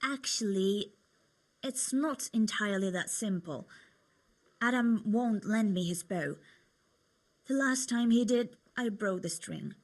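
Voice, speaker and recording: Husky, chatty young medieval tavern girl. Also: mid-range voice, slow, pauses often. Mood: coaxing. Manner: chatty, coaxing. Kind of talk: video game cutscene acting.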